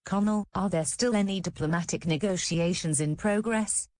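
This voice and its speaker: A woman's voice: mid-range voice, normal speed, noisy background, close to an everyday voice. A woman playing a composed radio news anchor presenting a world bulletin. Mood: composed, serious.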